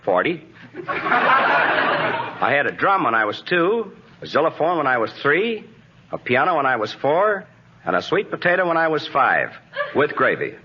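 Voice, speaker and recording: Deadpan old-radio bandleader, very noisy. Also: male voice, fairly high pitch. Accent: American. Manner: deadpan, unhurried. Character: slow, deadpan American bandleader wisecracking about himself. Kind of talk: 1940s radio comedy acting. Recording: muffled, noisy 1940s radio broadcast.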